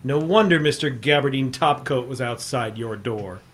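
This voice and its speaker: An actor playing a tough, sardonic American reporter in a 1950s radio drama, with wry, clipped delivery. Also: male voice, medium pitch, moderate pace, fairly bright tone, close to an everyday voice. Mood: sardonic, assured. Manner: hard-boiled, clipped, wry. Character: tough, sardonic American newspaperman hero and narrator.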